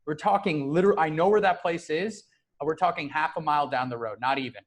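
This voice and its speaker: A man's voice: a little high, fast. A man in a casual podcast chat, telling a story in an easygoing way, often dropping "man" and "you know".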